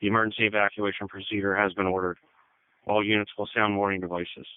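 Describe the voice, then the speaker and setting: Male dispatcher, low clear voice, procedural radio read-backs, thin phone-like narrowband sound. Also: level tone, fast, no room sound. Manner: procedural, reads back messages. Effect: two-way radio. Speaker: county fire dispatcher.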